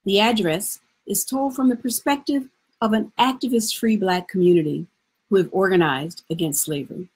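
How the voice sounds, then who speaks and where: A middle-aged woman presenting scripted historical narration in a measured, formal way. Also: mid-range voice, darkish tone, slightly rough. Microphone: video call.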